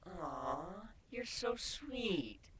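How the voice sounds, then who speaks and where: Man voicing a teasing, breathy female changeling. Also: sits high, very animated, drawn-out words, a crowd in the background, pitched above the performer's own voice, heavily acted. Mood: sweet, encouraging.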